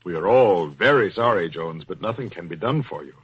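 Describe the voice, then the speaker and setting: A man's voice: low voice, animated, a little fast, very noisy. An actor playing an officious, formal board chairman with stiff bureaucratic courtesy in a 1940s radio play. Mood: regretful, firm. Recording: muffled old radio recording.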